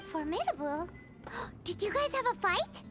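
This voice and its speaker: A woman voicing a small, curious and cheeky childlike fairy companion in a video game, with a squeaky voice. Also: very animated, a little slow, over music, full character voice. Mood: teasing, surprised.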